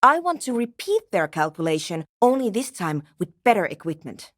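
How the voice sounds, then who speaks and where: A woman's voice: medium pitch, very animated, moderate pace, fairly bright tone, no room sound. An actress playing a brusque, nerdy woman programmer in a video game, with a dry, matter-of-fact, sardonic manner. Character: brusque, nerdy young woman programmer researching a radio anomaly. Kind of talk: video game character dialogue.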